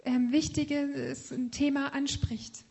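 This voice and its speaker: A woman's voice: high voice, flat delivery, slow pace, vocal fry, darkish tone. A woman interpreting a sermon phrase by phrase, sometimes hesitating and correcting herself. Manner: follows each phrase closely, with hesitations and self-corrections.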